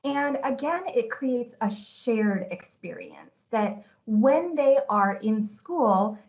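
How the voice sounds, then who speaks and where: A woman's voice: fairly high pitch, lively intonation, slow pace, vocal fry, a little mellow. A woman teacher presenting a webinar in a conversational, encouraging and reassuring way. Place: remote online video call. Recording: dull online webinar audio with background noise.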